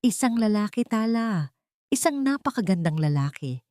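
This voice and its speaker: A woman's voice: mid-range voice, sing-song, normal speed, a little mellow, no room sound, clean studio sound, voice pushed up, natural voice. A woman with a Filipino accent voicing a caring elderly woman in a radio drama, coaxing and emotional. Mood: tearful joy. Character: caring elderly woman midwifing, motherly and urgent. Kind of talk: Tagalog radio drama storytelling.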